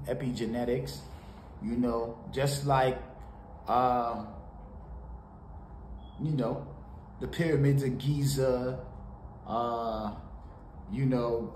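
A man from Haiti giving casual, animated reaction commentary on a song in a small home studio, talking to the camera. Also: mid-range voice. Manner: animated, preachy, sprinkled with laughs and "you know". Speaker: music reaction host. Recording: roomy home studio with faint noise.

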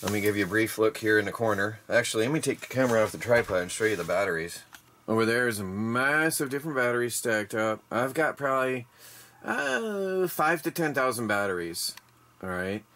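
A man with a fairly low voice talks his way around a yard and workshop, plain-spoken and rambling. He sounds worn out from the day's work, picked up by the camera's own mic. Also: animated, brisk, a bit bright, a little hiss or hum. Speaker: homestead DIY vlogger. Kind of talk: casual walk-through narration. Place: outdoors in a yard, then a workshop.

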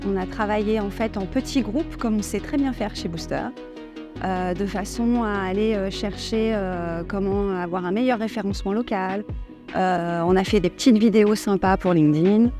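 Relaxed woman over music. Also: medium pitch, normal speed. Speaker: training center director. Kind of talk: interview.

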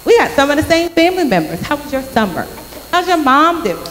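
High-voiced woman preaching in an echoey church. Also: brisk. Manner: animated storytelling, acting out quoted voices. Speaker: youth speaker. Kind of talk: church youth message. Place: large church sanctuary with congregation. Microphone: handheld microphone. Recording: echoey sanctuary from a far camera.

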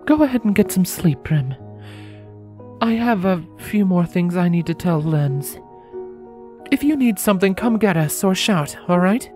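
A woman's voice: fairly low pitch, lively intonation, a little slow, a little mellow, soft background music. A woman voicing a tender, conflicted adult unicorn with an earnest, formal, hesitant manner, in a fan fiction drama. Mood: gentle.

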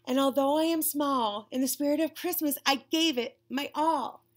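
A small, eager storybook cat, acted out by a woman reading aloud. The voice is high and exuberant, with plucky, sing-song declarations. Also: moderate pace, natural voice. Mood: determined.

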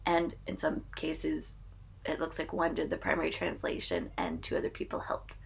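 Woman, Midwestern accent, thirties, low gravelly voice, chatty vlog host, webcam at home. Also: sing-song, moderate pace, low-quality recording. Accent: American Midwestern. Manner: chatty, reads the poem aloud with care. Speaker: channel host. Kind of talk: casual vlog with a poetry reading. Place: home room with bookshelves, indoors.

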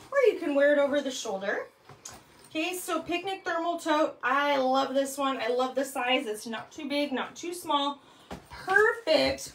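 A woman's voice: sits high, a bit bright, recorded from a distance, slight background noise. A woman in her thirties chattily demonstrating bags in a product vlog, with frequent ums. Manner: chatty, upbeat, lots of ums. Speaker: bag consultant. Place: small room indoors. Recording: echoey room, mic set back.

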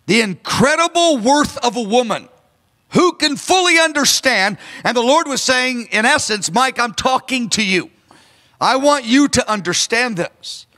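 A man in his fifties preaches with a very high, bright and forceful voice, earnest and emphatic. His words ring out through a large hall with a long reverb trailing behind them. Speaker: pastor. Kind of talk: sermon. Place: large church stage. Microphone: handheld microphone.

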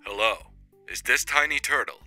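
A man's voice: mid-range voice, bright, forceful tone, soft background music. Man acting as a formal, grave secret-agent commander. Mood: grave, secretive. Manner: formal, deliberate, urgent. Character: grave covert-agency commander, adult male. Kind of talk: cartoonish game roleplay acting.

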